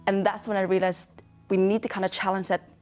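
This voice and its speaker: A young woman from western Norway speaks English with a Norwegian accent, earnest and measured, in a reflective interview. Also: medium pitch, flat delivery, darkish tone, faint music behind. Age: young adult. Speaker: founder of a storytelling organisation, interviewee. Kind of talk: reflective interview monologue to camera. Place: dark indoor studio.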